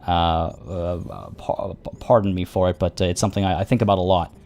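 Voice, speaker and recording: A man's voice: deep voice, steady tone, fairly quick, vocal fry, a little mellow, soft background music. Rambling man streaming a tactics game. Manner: rambling, many restarts and fillers. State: voice getting worn. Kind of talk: casual gameplay commentary.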